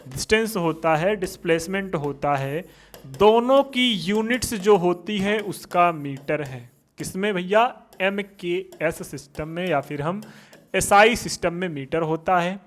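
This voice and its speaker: A man's voice: high voice, a bit bright. A male teacher giving a physics lesson for exam students, asking questions and answering them himself.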